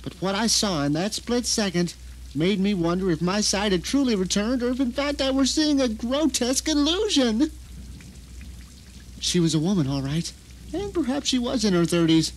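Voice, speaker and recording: A man acting a high-voiced, bitter captive narrator. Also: low-quality recording. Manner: melodramatic, sardonic, deliberate phrasing. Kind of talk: dramatic first-person audio drama monologue.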